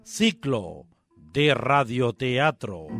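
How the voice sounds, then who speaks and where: A man's voice: medium pitch, sing-song, drawn-out words, creaky, over music. A man with a Chilean accent formally reading scripted radio credits as an announcer.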